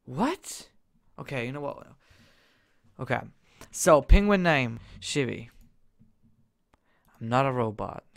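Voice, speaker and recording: A young man casually commenting while he plays a game on his computer, excitable and jokey, jumping from loud bursts to quiet mumbles. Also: mid-range voice, slow, vocal fry, long pauses. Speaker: gaming YouTuber.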